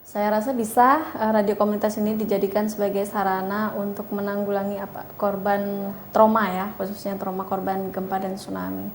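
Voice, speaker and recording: A woman's voice: medium pitch, little pitch movement, a little fast. A woman giving hesitant answers in an interview. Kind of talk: interview talk. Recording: echoey room with faint noise.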